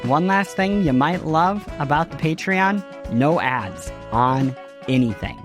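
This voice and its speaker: A man's voice: fairly high pitch, lively intonation, takes it slowly. Man hosting a podcast over music. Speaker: podcast host. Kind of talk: scripted news narration and show sign-off. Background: music behind the voice.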